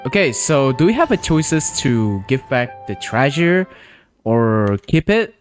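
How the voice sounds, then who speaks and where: A man's voice: medium pitch, big pitch swings, measured pace, soft background music. A man streaming a video game live, chatting casually and reacting aloud to what happens.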